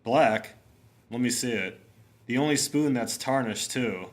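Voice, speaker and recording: A man playing a brisk, authoritative homicide sergeant with clipped, matter-of-fact delivery in a 1950s-style radio mystery. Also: medium pitch, natural voice. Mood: confident, knowing.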